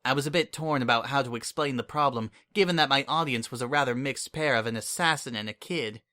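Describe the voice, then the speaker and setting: Bright-voiced male storyteller. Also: medium pitch, a little fast. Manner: steady, expressive first-person narration. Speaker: reader. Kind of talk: dramatic audiobook reading of fan fiction.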